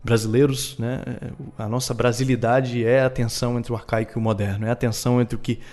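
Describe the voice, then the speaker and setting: Brazilian guy, historian guest on a podcast, average pitch, explaining stuff casually, repeats himself for emphasis. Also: level tone, fairly quick, a little mellow. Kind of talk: conversational explanation.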